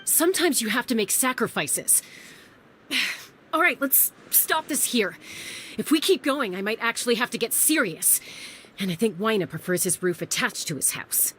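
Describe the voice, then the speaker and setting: A woman's voice: a little high, animated, normal speed, a bit bright, slight background noise. A woman playing a proud, headstrong older sister and young fighter, defiant and curt, in a video game. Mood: resolute. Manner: defiant, self-assured, curt.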